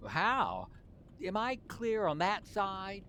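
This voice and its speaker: Man as a high-pitched, goofy teenage boy. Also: wildly swooping pitch, slow pace, sharp tone, a little hiss or hum, heavily acted. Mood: indignant. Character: goofy, carefree teenage boy, clumsy driver. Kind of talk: old-time radio comedy acting.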